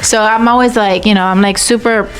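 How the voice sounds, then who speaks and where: Young woman, chatty podcast host, rambling with fillers, mid-pitched voice. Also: fairly even intonation, brisk, fairly bright tone, rough recording. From Miami. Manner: chatty, rambling, peppered with "like" and "you know". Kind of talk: casual interview chat.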